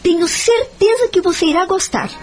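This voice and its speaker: A woman playing a kindly, devout friend in an audio drama, explaining things in a gentle, reassuring way. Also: very high voice, moderate pace, music in the background. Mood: gently instructive.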